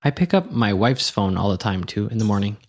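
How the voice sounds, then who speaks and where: A man's voice: on the low side, expressive, fairly quick. A man who is a guest on a tech podcast, telling a puzzled anecdote. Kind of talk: casual tech chat.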